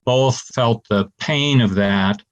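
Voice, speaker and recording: A man's voice: mid-range voice, takes it slowly, highs cut off. An older man, a former bishop from Pittsburgh, reflecting steadily on his upbringing. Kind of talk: personal reflection.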